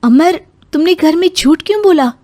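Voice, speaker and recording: Woman voicing a high, earnest young daughter-in-law. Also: expressive, normal speed, mellow, no room sound, clean studio sound. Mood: concerned. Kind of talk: animated family drama dialogue.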